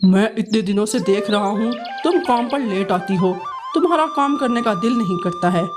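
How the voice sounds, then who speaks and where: A woman's voice: mid-range voice, close to an everyday voice. A woman voicing a scolding male crow boss. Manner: scolding, contemptuous, ordering about. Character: bossy grown male crow, harsh employer. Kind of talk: cartoon voice acting. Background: background music.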